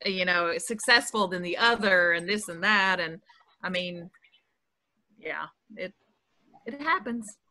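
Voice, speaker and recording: A woman's voice: lowish voice, slow pace, long pauses, bright, forceful tone. A woman chatting casually with friends on a group video call. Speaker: table read participant.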